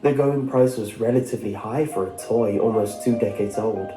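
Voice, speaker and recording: A man's voice: fairly low pitch, normal speed, mellow, reverberant. A man reading a scripted first-person story as a narrator. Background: background music.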